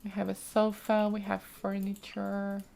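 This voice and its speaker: A woman's voice: medium pitch, monotone, measured pace, gravelly, warm tone, slight background noise. A woman giving casual, chatty let's play commentary, thinking aloud with frequent exclamations as she explores a game. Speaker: gaming streamer, let's play host.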